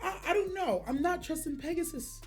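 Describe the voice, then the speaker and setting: A woman reacts in casual, slangy conversation. Her voice is high and lively, with animated swings in pitch. Faint music runs behind her. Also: brisk. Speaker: reaction video host.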